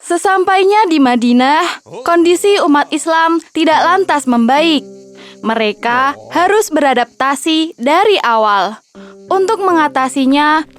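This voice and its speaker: Female narrator, high register, scripted kids' storytelling with background music.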